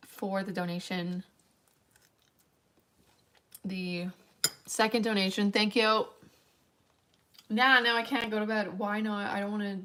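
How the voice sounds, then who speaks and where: Raspy-voiced young woman streaming late at night. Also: medium pitch, fairly bright tone. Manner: rambling, chatty, with frequent fillers and tangents. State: tired, voice wearing thin late at night. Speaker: livestreamer. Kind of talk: late-night casual stream chat, reading chat aloud.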